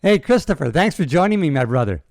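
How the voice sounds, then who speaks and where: A man's voice: a little high, sing-song, fast talker, darkish tone, no echo, clean studio sound. A man in his sixties hosting a podcast, chatty and enthusiastic, talking to his guest like a buddy. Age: 60s. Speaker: podcast host. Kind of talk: casual interview talk.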